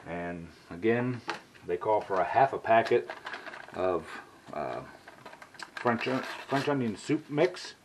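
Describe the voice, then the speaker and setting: A man's voice: lowish voice, animated, slow pace, long pauses, noisy background. A middle-aged man, rambling in a folksy way as he cooks and talks in his home kitchen. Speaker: cooking vlogger. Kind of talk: casual cooking vlog.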